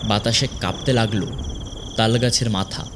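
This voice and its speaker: A man narrating a scripted horror story dramatically, in measured, suspenseful phrases with pauses. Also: on the low side, steady tone, background noise.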